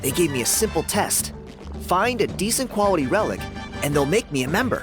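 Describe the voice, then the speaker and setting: A friendly, boastful young dancer from a jungle tribe in a video game. He talks in an easygoing, chatty way, with a slightly high, lively voice. Loud game music plays under him. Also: a little fast.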